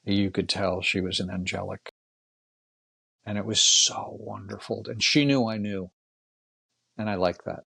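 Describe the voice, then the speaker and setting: Older male guest, husky and fry-edged, casual storyteller, close dry sound. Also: on the low side, steady tone, measured pace, pauses often, sharp tone, no room sound.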